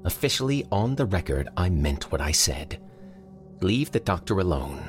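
A man voicing a tough, world-weary male rabbit who is a police chief, speaking gruffly. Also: deep voice, very animated, moderate pace, faint music behind.

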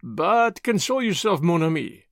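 A man's voice: sits high, very animated, moderate pace, fairly bright tone, dry recording, studio quality, heavily acted. A man doing a pompous Belgian detective. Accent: French. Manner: pompous, precise, self-satisfied. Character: fussy vain Belgian male detective. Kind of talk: audiobook character voice.

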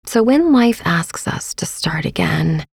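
A woman hosting a sleep meditation podcast, speaking in a soft, soothing, partly whispered and unhurried way. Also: fairly low pitch, big pitch swings, gravelly, slightly rough, no room sound, studio quality. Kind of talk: guided sleep meditation narration.

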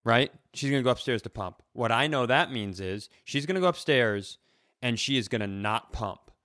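Low-voiced man telling casual comic stories. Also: moderate pace, no echo, clean studio sound. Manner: animated retelling with acted quotes, casual swearing. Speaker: comedy podcast co-host. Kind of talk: casual comedic storytelling chat.

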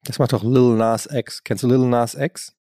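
A man's voice: medium pitch, fairly even intonation, moderate pace, dark tone, no echo. A man co-hosting a podcast, teasing his partner with dry irony in casual chat. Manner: teasing, ironic. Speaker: podcast co-host.

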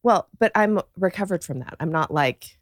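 A hoarse woman guest in a casual podcast chat, wry and blunt about herself. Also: fairly low pitch, expressive, normal speed, dry recording, studio quality. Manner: wry, blunt, self-mocking. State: hoarse, voice not back.

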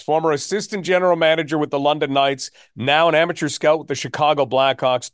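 A Canadian man hosts a sports podcast in a fairly high voice. He is recorded close and dry, with very little room around him. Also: no room sound.

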